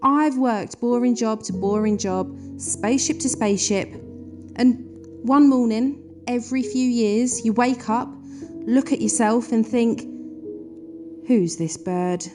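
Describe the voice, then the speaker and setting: A woman's voice: on the high side, takes it slowly, music in the background. A woman with a British accent acting a practical, down-to-earth spaceship crewmate in an audio drama, blunt and chatty. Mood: frank, reassuring. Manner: blunt, chatty, matter-of-fact.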